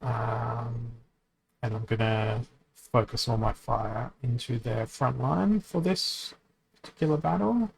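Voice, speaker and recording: A man streaming a card game, thinking aloud as he plays, often correcting himself and pausing to hesitate. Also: medium pitch, creaky, rough recording. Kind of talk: casual commentary while playing a card game.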